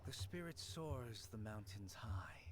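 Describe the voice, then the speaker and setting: A man's voice: fairly low pitch, very animated, slow, slight background noise. A man voicing a mysterious, formal shrine keeper in a video game who speaks in riddles. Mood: cryptic. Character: mysterious old shrine keeper, calm and cryptic. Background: game music.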